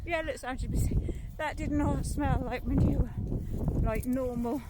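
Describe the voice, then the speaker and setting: A woman with a fairly high voice rambles casually while walking through the countryside, holding her phone. She rants with exasperation and laughs along the way. Loud outdoor noise sits behind her voice. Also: steady tone, measured pace. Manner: chatty, exasperated ranting with laughs. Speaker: vlogger.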